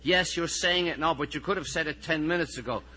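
An Irish man voicing a harried, exasperated high school teacher in a storytelling reading, loud and pleading with his pupils. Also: fairly quick, a little hiss or hum. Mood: weary, sarcastic. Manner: loud, pleading, repetitive questioning.

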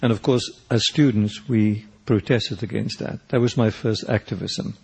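A South African man past middle age, his native tongue Afrikaans, speaks in a low, slightly husky voice. He answers questions slowly and reflectively, circling back and restarting his sentences as he searches for words. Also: fairly even intonation, darkish tone. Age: older. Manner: thoughtful, halting, many restarts and fillers. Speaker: poet and painter, interview guest.

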